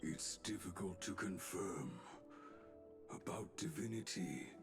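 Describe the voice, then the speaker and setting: An actor playing a cryptic, solemn craftsman creature in a fantasy video game, with halting, inverted, ceremonial phrasing. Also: low-pitched, music in the background. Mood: solemn, mysterious. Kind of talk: scripted video game dialogue acting.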